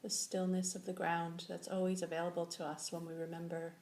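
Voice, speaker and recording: A woman leading a guided meditation as a teacher, giving slow, gentle instructions with long pauses. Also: fairly low pitch, completely flat delivery. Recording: echoey room with faint background noise.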